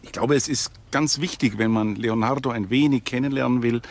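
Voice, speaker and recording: A man speaking as an expert in a documentary interview, explaining deliberately phrase by phrase. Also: medium pitch, fairly even intonation.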